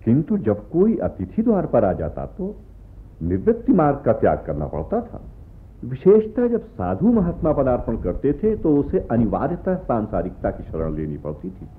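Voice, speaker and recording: An actor playing a genial, bookish gentleman in a radio play, musing to himself and narrating a story expressively. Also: medium pitch, wildly swooping pitch, normal speed. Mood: storytelling. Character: bookish gentleman reading stories aloud at bedtime, genial. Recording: muffled narrow-band radio recording with music.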